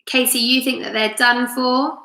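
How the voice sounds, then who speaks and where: A woman with a bright, fairly high voice hosts a live story time for children. She reads expressively and puts questions to her listeners, in a room with clear echo. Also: flat delivery, moderate pace. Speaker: teacher reading aloud to children on a live stream. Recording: roomy, echoey room sound.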